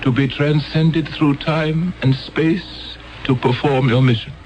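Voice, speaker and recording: An actor playing a stern, commanding alien overseer in a 1970s radio drama, intoning slowly and solemnly. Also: male voice, on the high side, fairly even intonation, darkish tone.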